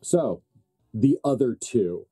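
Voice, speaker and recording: A man narrating as game master in an improvised tabletop game, phrasing things deliberately and thoughtfully with emphatic pauses. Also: mid-range voice, expressive, warm tone, slightly rough, no echo, soft background music.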